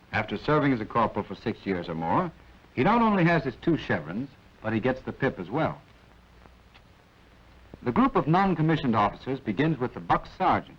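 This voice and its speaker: A man with an American accent narrating a 1940s military training film, instructing in a clipped way with wry asides. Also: mid-range voice. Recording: dull, band-limited vintage film soundtrack.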